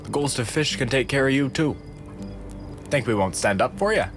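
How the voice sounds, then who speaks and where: An actor playing a loyal, protective, anxious soldier with an earnest, concerned way of talking in a video game drama. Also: male voice, medium pitch, animated, moderate pace, a bit bright. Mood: caring, earnest. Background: music.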